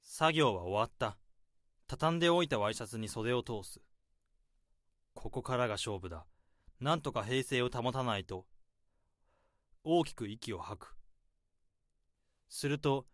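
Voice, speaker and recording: An actor playing a calm, understated, overworked male office worker in a radio drama who also speaks his inner monologue. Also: medium pitch, no echo, studio quality, close to an everyday voice.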